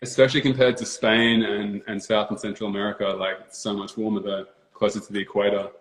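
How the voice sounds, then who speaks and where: A man's voice: fairly low pitch, little pitch movement, fast. A man hosting a live-streamed interview, casual and upbeat, laughing often. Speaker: host. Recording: echoey room.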